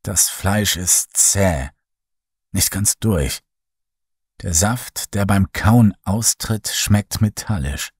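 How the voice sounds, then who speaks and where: A man reading a first-person horror story in a slow, hushed, brooding way. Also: low voice, slightly rough, no echo, studio quality. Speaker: audiobook narrator.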